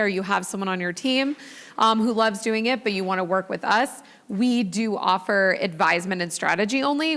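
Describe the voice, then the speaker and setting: A woman running a social media agency, giving a chatty, upbeat conference talk with a loud voice and quizzing the audience. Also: mid-range voice. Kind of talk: conference presentation. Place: large event hall with live audience. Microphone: handheld or lapel mic. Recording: long hall reverb.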